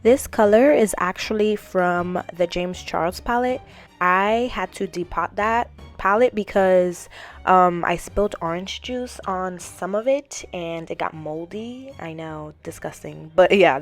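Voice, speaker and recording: A young woman with a raspy, hoarse voice after losing it, chatting casually through a makeup tutorial and giggling now and then. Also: fairly low pitch, unhurried, faint music behind. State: hoarse, lost voice. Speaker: beauty vlogger.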